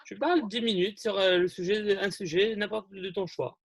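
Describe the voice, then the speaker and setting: A man's voice: sits high, fairly even intonation, moderate pace, creaky, dry recording. A young man hosting an online group meeting, talking in a friendly, rambling way with many fillers and restarts over a video call. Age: young adult.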